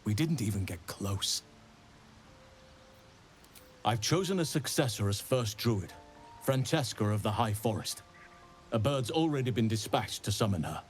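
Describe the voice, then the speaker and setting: A man playing a wise, solemn archdruid in a video game, speaking in a measured, formal and regretful way. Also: fairly low pitch, expressive, soft background music. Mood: resolute. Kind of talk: video game dramatic dialogue.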